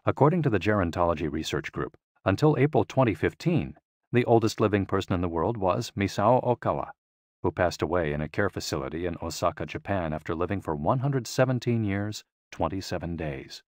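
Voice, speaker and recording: A man reading a scripted audiobook in a measured, clearly enunciated way. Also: low voice, lively intonation, no room sound, studio quality. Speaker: audiobook narrator.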